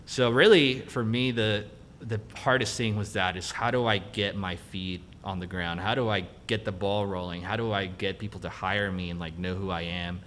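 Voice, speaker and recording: Young mexican-born guy, spanish first language, low voice, chatty and animated telling stories, bit of rumble behind. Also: normal speed. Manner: animated storyteller, laughs easily, quotes himself. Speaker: guest cinematographer. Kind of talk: casual interview chat.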